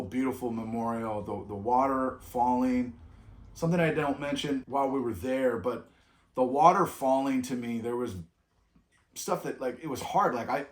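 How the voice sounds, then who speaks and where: Middle-aged guy co-hosting a travel vlog, loose rambly talk, lots of restarts, echoey room. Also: medium pitch. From California. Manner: rambling, with many restarts and trailing off. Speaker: vlog co-host. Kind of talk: reflective casual chat.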